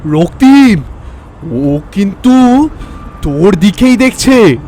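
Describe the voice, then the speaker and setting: A man's voice: sits high, very animated, drawn-out words, mellow, soft background music, exaggerated voice. A man playing an anxious young disciple of a tantric guru in a horror audio drama, urgent, worried and emphatic. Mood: alarmed.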